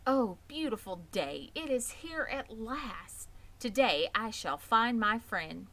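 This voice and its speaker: A woman's voice: on the high side, expressive, slow, fairly bright tone, pitched above the performer's own voice, heavily acted. A woman voicing an earnest, naive young male pig with polite, formal phrasing, in a children's storybook reading. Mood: excited.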